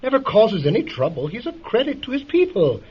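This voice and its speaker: A man's voice: high voice, huge pitch swings, brisk, husky, full character voice. A man playing a smug, bigoted lord in a radio drama, sneering and patronising, recorded with dull vintage radio sound. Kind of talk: golden-age radio drama acting.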